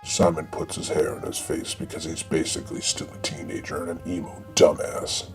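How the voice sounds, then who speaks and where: A man reading scripted comic narration for an audio drama in a deadpan way. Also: rumbling low, moderate pace, faint music behind.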